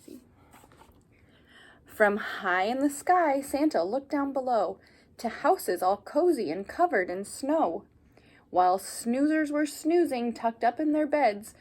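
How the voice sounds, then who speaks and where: A woman reading a children's picture book aloud like a teacher, animated, with asides and questions to young listeners. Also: high voice, takes it slowly.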